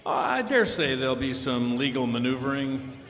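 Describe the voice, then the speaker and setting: An actor playing a stiffly polite, formal police inspector of the 1900s in an old-time radio drama. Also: male voice, medium pitch, sing-song, normal speed, crowd noise. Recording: echoey, reverberant hall.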